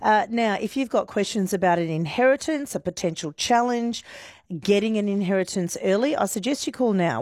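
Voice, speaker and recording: An Australian woman hosting live talk radio, brisk and friendly, introducing guests and reading listener messages. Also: fairly low pitch, a little mellow, dry recording, clean studio sound. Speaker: radio presenter.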